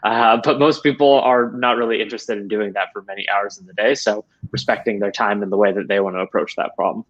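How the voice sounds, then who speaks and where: A man's voice: fairly low pitch, fairly even intonation, fast, hardly any pauses. A man in his thirties giving conversational answers as an interview guest on a video call from a room at home. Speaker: interview guest, head of support operations. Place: small home room, indoors. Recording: dull, lo-fi video call audio.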